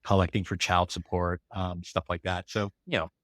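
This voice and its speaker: An American man in his forties guests on a podcast and walks through his life story. His voice sits low and he rambles along with plenty of fillers. The sound is close and dry. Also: level tone, normal speed, a bit bright, dry recording. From California. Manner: rambling, many fillers and laughs. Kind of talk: casual interview storytelling.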